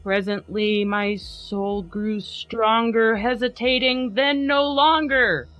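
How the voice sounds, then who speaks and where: Slow-reading woman, dramatic poem. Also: a little high, steady tone, a bit bright, dry recording. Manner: measured, alternating lines with a co-reader. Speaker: podcast host. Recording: slightly muffled with faint music.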